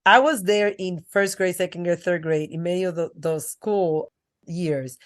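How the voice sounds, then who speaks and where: Brief: lower-pitched middle-aged woman, earnest storyteller on a podcast. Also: unhurried. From Dominican Republic. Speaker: guest. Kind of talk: podcast interview.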